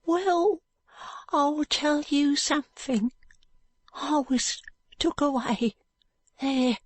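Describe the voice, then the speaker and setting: A woman voicing a frightened, pitiful ragged street boy with a high, cracked, tearful voice and slurred street speech. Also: drawn-out words, no echo. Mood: fearful.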